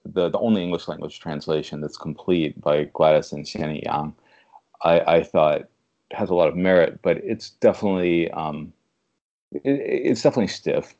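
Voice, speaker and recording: Very deep-voiced man, measured and halting. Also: a little mellow, lo-fi sound. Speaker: podcast guest, literary translator. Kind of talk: conversational interview.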